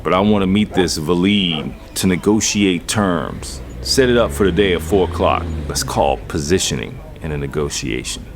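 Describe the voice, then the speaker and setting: An actor in an audio drama playing a shrewd, domineering gang boss with slangy street talk and corporate airs. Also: male voice, low-pitched, expressive, normal speed, few pauses, background noise. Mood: calculating.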